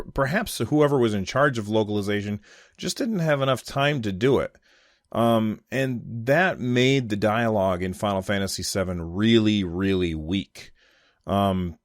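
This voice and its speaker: A man's voice: lowish voice, no echo, clean studio sound. An American man giving casual, unscripted solo commentary on gaming news, thinking aloud in loose conversational phrases. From United States.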